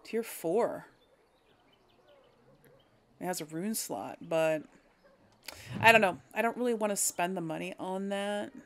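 Slow-paced woman musing over a game. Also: medium pitch, expressive, a bit bright. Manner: musing aloud, hesitant deliberation. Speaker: let's play host. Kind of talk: casual gameplay commentary.

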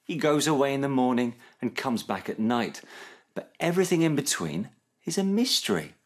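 A man's voice: mid-range voice, big pitch swings, normal speed, pitched above the performer's own voice. A man voicing a young boy crocodile in a children's story, wistful, hesitant and childlike. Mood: unsure, wistful. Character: young boy crocodile, wistful and unsure about his dad.

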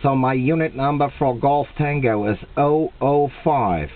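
A man with a German accent making a two-way radio contact, speaking slowly and deliberately and repeating himself. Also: mid-range voice. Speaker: radio operator. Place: indoors at a radio set. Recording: tinny, band-limited camera capture at the radio.

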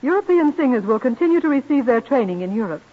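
A young American opera star, high and husky in voice, modest yet proud. She speaks with polite, formal earnestness in old-time radio drama style, on a muffled vintage recording. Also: sing-song, quick pace. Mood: earnest, proud. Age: young adult.

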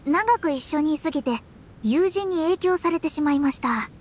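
A woman voicing a proud, composed young woman astrologer in a game, speaking politely and formally with quiet self-assurance. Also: high voice, animated, normal speed, a little hiss or hum. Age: young adult. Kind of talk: game voice acting.

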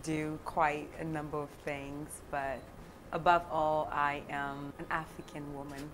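A woman's voice: low-pitched, measured pace, noisy background. A young woman from Ghana, a filmmaker guest, talking easily in a studio interview and laughing often between thoughts. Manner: laughs often, animated with hand gestures. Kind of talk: relaxed interview talk. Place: studio set, seated on sofa.

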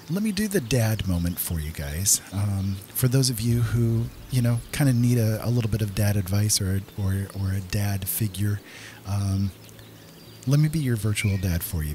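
A man's voice: on the low side, warm tone, slight background noise. A man giving casual gameplay commentary as the host of a gaming video, talking directly to viewers.

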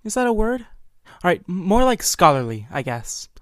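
A woman's voice: lowish voice, sing-song, normal speed, no room sound, studio quality. A woman speaking casually and hesitantly as a player on a role-playing game podcast. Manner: hesitant, unsure. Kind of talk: casual introduction.

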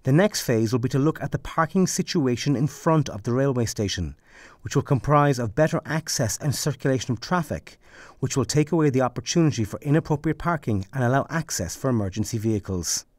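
A man's voice: medium pitch, animated, a little mellow, dry recording. Young man reading news narration. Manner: measured, formal reporting. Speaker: TV news reporter.

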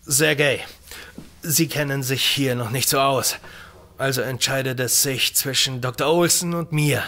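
An actor playing a strained, authoritative leader of a research station in an audio drama. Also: male voice, mid-range voice, unhurried, bright, forceful tone. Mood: concerned, organising. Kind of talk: German audio drama acting.

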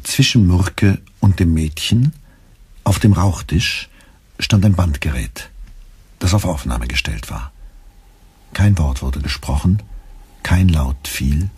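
Very deep, husky male narrator. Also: expressive, slow, long pauses, darkish tone, dry recording. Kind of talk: scripted literary narration in a German radio play.

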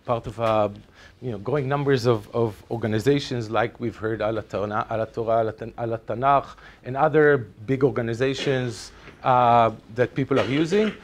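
Middle-aged man, Israeli accent, native Hebrew speaker, chatty hesitant presenter, mid-range voice, lecture room. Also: mid-range voice. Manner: chatty, hesitant, many ums and restarts. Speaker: presenter at a teachers' workshop. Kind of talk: conference presentation. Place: lecture room with projector screen.

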